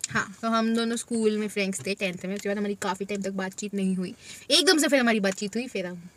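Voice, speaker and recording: A woman's voice: medium pitch, fast talker. A woman in her twenties chatting casually as a vlogger, reading out questions and laughing often, recorded in a parked car on a dashboard camera. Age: 20s. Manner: chatty, giggly, reads out questions. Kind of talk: casual Q&A chat. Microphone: camera phone on the dashboard.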